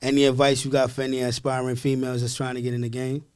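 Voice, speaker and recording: A man's voice: mid-range voice, completely flat delivery, fast, a little mellow, smooth. A man co-hosting a casual interview in an easygoing, slangy way. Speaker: interview co-host. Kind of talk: casual chat.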